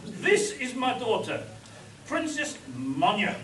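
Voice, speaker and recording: A grandly formal Mayan king acted by a man declaims in a high voice that swings widely in pitch. It is spoof radio adventure acting, heard from off in an echoey hall. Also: very animated, slow, fairly bright tone, slight background noise, exaggerated voice. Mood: grave. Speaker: amateur actor in a live radio play. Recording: echoey live hall, distant mic.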